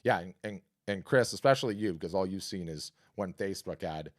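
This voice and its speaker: A man hosting a live art business workshop and answering questions, talking in an energetic, conversational, salesy way with a scratchy, hoarse voice. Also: low-pitched, a little fast. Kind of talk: live webinar talk and Q&A.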